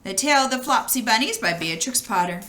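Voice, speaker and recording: A woman reading a children's storybook aloud in an expressive, animated way, as if to young listeners. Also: fairly high pitch, quick pace. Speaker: storybook reader.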